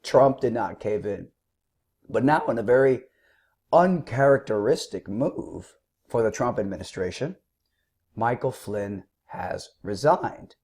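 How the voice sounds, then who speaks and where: A man with a sore throat giving combative, scornful political commentary, clearing his throat now and then. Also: medium pitch, lively intonation, a little slow, a little mellow. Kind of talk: opinionated commentary.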